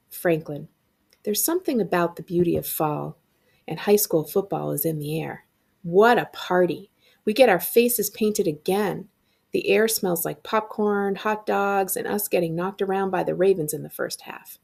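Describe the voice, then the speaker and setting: Creaky-voiced woman reading a novel aloud. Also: lowish voice, darkish tone. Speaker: librarian reading a book aloud. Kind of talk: expressive read-aloud of a middle-grade novel.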